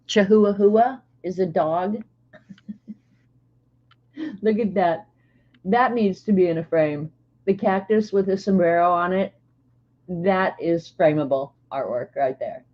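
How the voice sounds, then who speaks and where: Talk it through slowly and easily, as a woman in her sixties chatting to your stream, lower in your voice, half thinking aloud with little laughs. Keep it relaxed and unhurried. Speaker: streamer opening mail on stream. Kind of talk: casual livestream chat. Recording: slightly dull lo-fi stream audio.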